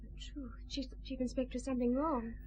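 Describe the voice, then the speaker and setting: An actress playing an earnest, vulnerable office worker in a radio drama. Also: female voice, high-pitched, steady tone, brisk, lo-fi sound, noisy background, close to an everyday voice. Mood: shocked, grieving.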